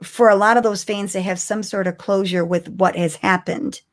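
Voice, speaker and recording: A woman's voice: medium pitch, steady tone, normal speed. A woman co-hosting a podcast in casual fan discussion, thinking aloud in a conversational, emphatic way. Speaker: podcast co-host.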